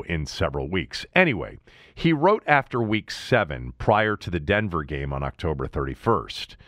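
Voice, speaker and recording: Male sports radio host, lowish voice with big swings in pitch, close dry sound, emphatic conversational analyst. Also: a bit bright, no room sound. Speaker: sports talk show host.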